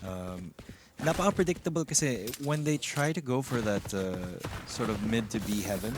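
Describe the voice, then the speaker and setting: A man's voice: fairly low pitch, expressive, moderate pace. A man with a Filipino accent giving live analysis as an esports caster, switching between two languages. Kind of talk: live game analysis commentary. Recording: noisy audio with clicks.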